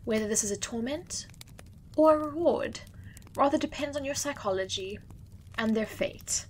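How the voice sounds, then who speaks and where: A woman's voice: fairly high pitch, lively intonation, normal speed, a little mellow, a little hiss or hum, close to an everyday voice. A woman playing a wry, grand female judge of the dead who pronounces sentences in a stately, theatrical way. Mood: imperious. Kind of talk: audio drama acting.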